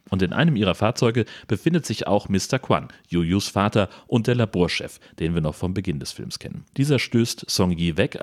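A man's voice: low voice, no room sound, clean studio sound. A man hosting a podcast, reading a scripted, comedic film recap. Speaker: podcast host.

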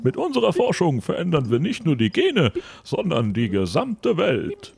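A man playing a confident, smooth-talking lab chief who makes grand, persuasive speeches in a creaky voice, in film-dub style. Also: fairly high pitch, wildly swooping pitch, moderate pace, a crowd in the background, exaggerated voice. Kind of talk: German dub-style dramatized reading.

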